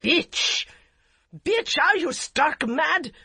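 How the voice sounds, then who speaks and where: An enraged young man shouts harsh orders, voiced by a woman narrating. The voice stays raised and sharp, with a breathy grain. Close and dry. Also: a little high, expressive, a little fast, dry recording, pitched above the performer's own voice, full character voice. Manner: roaring and harsh.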